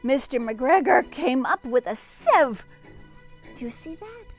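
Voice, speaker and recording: A woman reading a children's story aloud in an expressive, teacherly way, with chatty asides and questions to young listeners. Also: high voice, slow, faint music behind. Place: outdoors in a garden.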